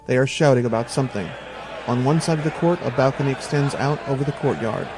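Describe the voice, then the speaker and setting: A man reads descriptive narration for an audio drama. His voice sits at a medium pitch, with music clearly playing behind him. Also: fast talker. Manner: descriptive storytelling.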